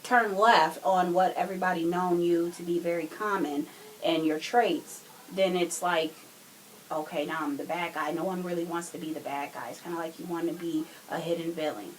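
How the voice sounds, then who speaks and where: A woman with a fairly low voice talks on a casual podcast. She explains her views in an earnest way, with faint noise behind her. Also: steady tone, moderate pace, rough recording. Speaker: podcast co-speaker.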